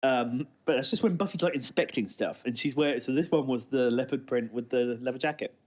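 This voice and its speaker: A man's voice: medium pitch, a little fast, a little mellow. A man co-hosting a podcast, trading informal, teasing, colloquial commentary on television. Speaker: podcast co-host. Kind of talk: casual banter, TV episode commentary.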